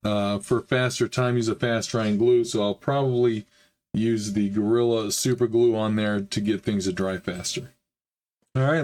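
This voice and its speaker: A man with a middling voice, a little hoarse, who talks viewers through a hobby build. He rambles easily and drops in plenty of "uh"s. The delivery is relaxed and unscripted. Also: mid-range voice. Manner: easygoing, rambling, frequent "uh" fillers. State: slightly hoarse, recovering from a chest illness. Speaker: hobby model-building channel host. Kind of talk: casual build vlog narration.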